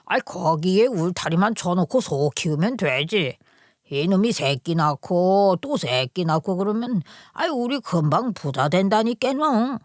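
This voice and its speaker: A woman's voice: fairly low pitch, animated, unhurried, dry recording, clean studio sound. A woman voicing an old countryside grandfather who coaxes and boasts about getting rich. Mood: coaxing. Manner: coaxing, boastful.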